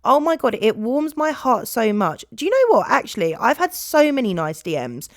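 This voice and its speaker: A woman's voice: on the high side, moderate pace, dry recording. Chatty young British woman, dry close sound. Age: 20s. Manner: chatty, animated, jumps between topics. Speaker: podcast host. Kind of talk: rambling casual solo chat to viewers.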